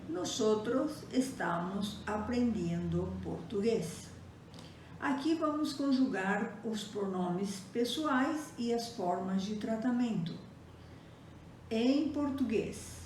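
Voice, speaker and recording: A woman teaching a slow, deliberate grammar lesson, reading out example sentences and setting Portuguese beside Spanish. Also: a little high, darkish tone, recorded from a distance. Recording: very echoey room, voice set back from the mic, faint noise.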